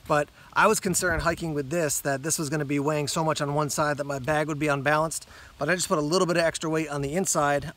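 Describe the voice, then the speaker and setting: A man giving a casual hands-on gear walkthrough outdoors, pausing with ums and correcting himself as he talks. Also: a little high, fairly bright tone. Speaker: gear reviewer. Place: outdoors on a rock among plants.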